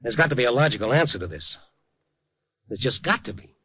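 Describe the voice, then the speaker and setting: An actor playing a tough, wry, incredulous newspaper reporter in a 1950s radio drama. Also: male voice, medium pitch, lively intonation, fairly quick, natural voice, poor audio. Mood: insistent. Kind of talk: 1950s radio drama, first-person narration and dialogue.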